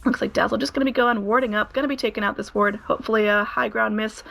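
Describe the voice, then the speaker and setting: A woman giving live play-by-play commentary on an esports match. Her voice is hoarse, and she keeps up a running narration with frequent "uh" fillers. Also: fairly high pitch, steady tone, quick pace, fairly bright tone.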